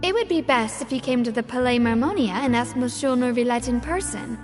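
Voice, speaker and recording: A woman's voice: a little high, a little fast, music in the background, natural voice. A woman voicing a polite, businesslike messenger in a video game, with formal phrasing.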